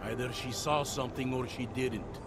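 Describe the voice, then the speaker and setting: An actor playing a world-weary veteran city police detective in a game cutscene, wry and half-joking, over loud music. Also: male voice, mid-range voice, steady tone, moderate pace, lightly acted. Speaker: game character.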